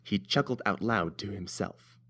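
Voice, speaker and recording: A man's voice: fairly low pitch, unhurried, a bit bright, breathy edge. A man narrating a scripted fan-fiction story as an audiobook reader.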